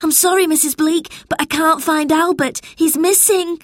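A woman's voice: sits high, measured pace, no room sound, studio quality, pitched above the performer's own voice. A woman voicing an earnest, worried young schoolboy in a children's story, with a pleading manner. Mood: upset, pleading. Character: anxious, earnest young schoolboy searching for brother.

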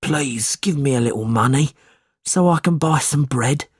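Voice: mid-range voice, measured pace, slightly rough, dry recording, studio quality, voice pushed up. A narrator voicing a bent old beggar man in a storybook, hungry, sick and pleading. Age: older. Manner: pleading, needy.

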